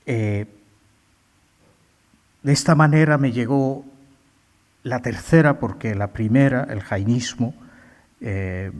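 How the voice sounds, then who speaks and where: Slow, halting man. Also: medium pitch, darkish tone. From India. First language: Bengali. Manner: slow, halting, many filler sounds. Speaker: poet. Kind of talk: poetry reading with commentary. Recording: long hall reverb.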